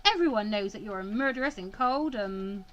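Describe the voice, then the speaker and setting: A woman's voice: medium pitch, animated, normal speed, a bit bright, dull audio, close to an everyday voice. A woman voicing an earnest, emotional young female student in a visual novel, stammering and impassioned. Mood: indignant.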